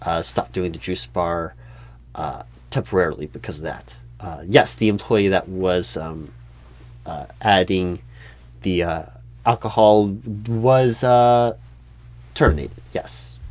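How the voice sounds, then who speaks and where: Guy doing a awkward hiring manager, lowish male voice, lots of stammering, polite and clueless, super dry recording, comedy roleplay. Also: takes it slowly, a little mellow, no room sound. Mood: awkward, hesitant. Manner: hesitant, stammering, awkwardly tactful. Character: flustered, oblivious, polite male recruiting manager. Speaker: recruiting manager in a job interview roleplay. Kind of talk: one-sided comedic audio roleplay.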